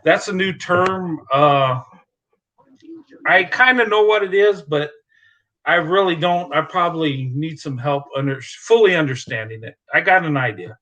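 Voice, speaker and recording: A man's voice: a little high, measured pace, bright, forceful tone, rough recording. A man hosting a live stream in casual chat, reassuring and laughing often, with many "uh" fillers.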